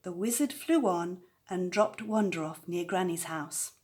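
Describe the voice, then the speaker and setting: An older woman telling a children's story aloud with expressive narration. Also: on the low side, a little slow. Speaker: storyteller, grandmother reading aloud.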